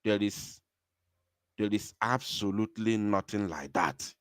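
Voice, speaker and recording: A man's voice: on the low side, unhurried, a bit bright, smooth, no room sound, clean studio sound. A man with a Nigerian accent hosting a live political call-in broadcast, speaking emphatically and repeating phrases for stress.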